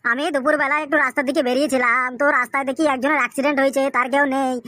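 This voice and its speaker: A man's voice: extremely high pitch, level tone, rapid-fire, pushed and bright, no room sound, studio quality. A man voicing a whining, cowardly, hard-drinking henpecked husband in a comic cartoon, pleading and making excuses through a sped-up voice effect. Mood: aggrieved. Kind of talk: comic Bengali cartoon dialogue.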